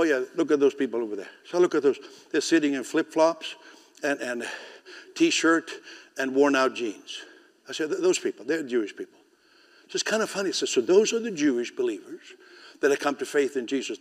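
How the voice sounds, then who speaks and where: A man preaching a sermon in English, which is not his first language, swinging from loud rising declarations to soft, chatty asides and often repeating words as he starts a phrase. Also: a little high, in a large hall.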